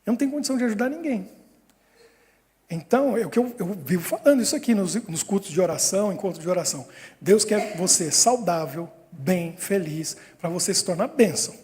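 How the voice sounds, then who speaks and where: High-voiced man preaching in a hall. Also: a little fast. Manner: lively storytelling with frequent chuckles. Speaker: pastor. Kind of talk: sermon. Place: church hall.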